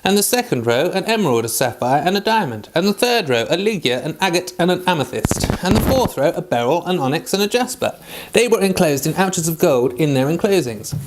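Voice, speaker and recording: High-voiced man reading scripture aloud. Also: very animated, a little fast, a little hiss or hum. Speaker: Bible reader.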